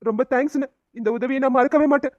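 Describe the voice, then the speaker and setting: A woman's voice: high-pitched, racing, a little mellow, dry recording, lo-fi sound, natural voice. A woman voicing a humble young man who thanks his friend. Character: grateful young husband and father.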